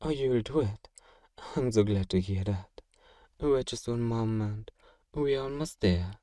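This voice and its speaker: A man playing a kind, possessive vampire master in an intimate ASMR roleplay, speaking in a gentle, genteel, coaxing and doting way. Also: medium pitch, a little slow, no room sound, studio quality, natural voice. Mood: soft, attentive. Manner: soothing, coaxing, politely doting.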